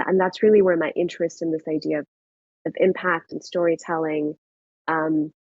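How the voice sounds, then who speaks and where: A woman's voice: deep voice, no echo. A woman from Pakistan, a company founder, answering interview questions in an earnest, reflective way. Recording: dry, lo-fi remote audio.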